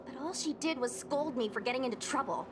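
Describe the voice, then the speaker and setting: Bright-spirited, high young woman acted. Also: fast talker, background noise, natural voice. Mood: earnest, excited. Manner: chatty, breezy, animated. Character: peppy, confident young women of a ghost-hunting squad. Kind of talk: video game cutscene acting.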